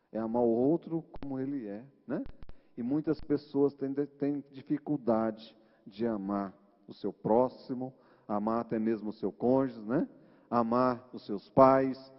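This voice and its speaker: A man with a Brazilian Portuguese accent preaching an informal sermon, teaching in a conversational way with frequent tag questions. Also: medium pitch. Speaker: church preacher. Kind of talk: informal sermon retelling Bible stories. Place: large reverberant church hall. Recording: muffled, echoing church hall recording.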